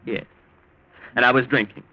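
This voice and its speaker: A man's voice: mid-range voice, fairly even intonation, normal speed, natural voice. An actor playing an anxious, weak-willed young man, faltering and defensive, in 1940s radio drama style. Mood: confessing. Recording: dull old 1940s radio recording.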